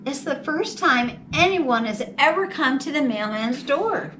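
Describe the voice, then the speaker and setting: High bright woman reading a storybook slowly. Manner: deliberate, animated, drawn-out phrasing. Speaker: children's storytime host reading a picture book. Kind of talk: slow expressive storybook narration for young children. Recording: distant mic, faint background noise.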